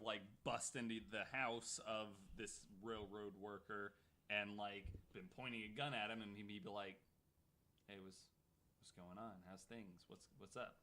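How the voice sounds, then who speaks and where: A man's voice: lowish voice, steady tone, measured pace, pushed and bright. A man co-hosting a podcast in casual, joking chat, informal and repeating words as he builds up a point. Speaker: podcast co-host.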